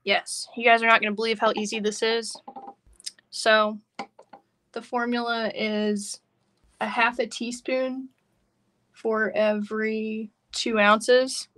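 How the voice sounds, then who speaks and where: A woman's voice: fairly high pitch, flat delivery, slow pace, long pauses, fairly bright tone. A woman instructor talking casually and informally through a hands-on craft demonstration. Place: work table indoors, live online stream.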